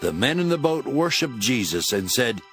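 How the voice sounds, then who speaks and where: A man narrating scripture as a scripted, dramatic story in a measured storytelling manner. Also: medium pitch, sing-song, a bit bright. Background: instrumental music.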